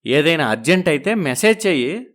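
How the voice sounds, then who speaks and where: A man's voice: a little high, big pitch swings, a little fast, a bit bright. A man voicing a young husband who soothes his wife and dodges trouble in a storybook drama. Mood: evasive. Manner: soothing, evasive. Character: young husband torn between wives, evasive.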